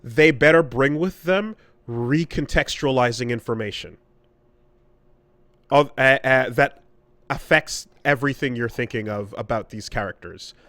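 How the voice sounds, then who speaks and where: A man co-hosting a podcast, chatting casually and explaining his points in a measured way. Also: mid-range voice, fairly bright tone, no echo. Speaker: podcast co-host. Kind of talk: casual conversation about storytelling.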